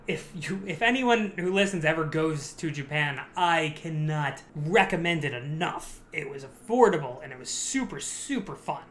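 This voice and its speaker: A young man with a high, shrill voice, chatting casually as a podcast guest, animated and self-mocking, laughing often. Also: normal speed, hardly any pauses, a little hiss or hum. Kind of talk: casual chat.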